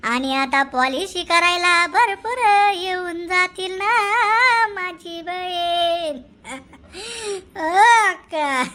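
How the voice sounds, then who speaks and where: A woman voicing a melodramatic cartoon mourner, crying in drawn-out sing-song wails. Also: extremely high pitch, pushed and bright, exaggerated voice. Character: wailing village woman mourner lamenting melodramatically.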